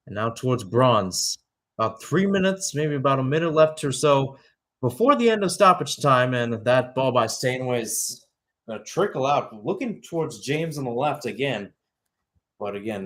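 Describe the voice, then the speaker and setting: A man's voice: medium pitch, fairly bright tone. A young man calling live soccer play-by-play in a radio style, often correcting himself and slipping into conversational asides. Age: young adult. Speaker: play-by-play commentator.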